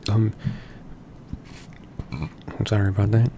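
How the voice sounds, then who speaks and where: A man reading visual novel text aloud in a halting way, stumbling over words. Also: fairly low pitch, creaky, noisy background. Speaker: let's play reader. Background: game music.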